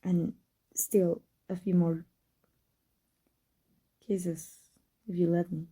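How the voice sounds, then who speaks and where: Woman acting a husky, dark-voiced tender villainess. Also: lowish voice, level tone, full character voice, poor audio. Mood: soft, affectionate. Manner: halting, breathy, emotional delivery. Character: tender, guarded villain woman confessing love to her rival. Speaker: voice actor. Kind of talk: intimate ASMR roleplay acting.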